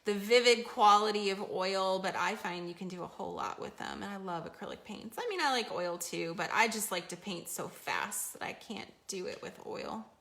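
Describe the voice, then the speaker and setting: Chatty woman teaching painting, slightly hoarse. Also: mid-range voice, normal speed, few pauses, fairly bright tone. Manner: chatty, encouraging, reads viewer comments aloud. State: losing her voice. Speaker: painting instructor. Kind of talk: live paint-along demonstration. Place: home art studio at an easel.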